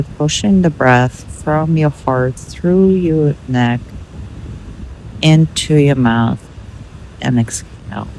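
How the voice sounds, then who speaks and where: Female meditation guide, very unhurried delivery, mid-range pitch, measured instructional phrasing, some background noise. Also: warm tone, poor audio. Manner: measured, instructional, with pauses between short phrases.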